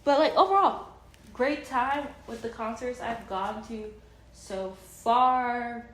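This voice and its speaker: Woman in her thirties, slow unhurried talk, rambling and gushing, echoey room. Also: a little high, slight background noise. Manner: rambling, enthusiastic, many fillers and restarts. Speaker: vlogger. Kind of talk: casual vlog chat.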